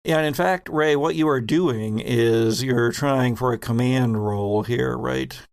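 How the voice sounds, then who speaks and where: A man's voice: medium pitch, measured pace, gravelly, smooth, no room sound, clean studio sound. A man narrating a horror tabletop roleplaying game as game master, in a descriptive storytelling manner.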